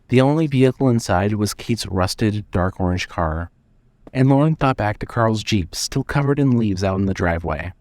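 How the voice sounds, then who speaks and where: A man's voice: on the low side, dry recording. A man narrating scripted suspense fiction as the storyteller of an audio drama.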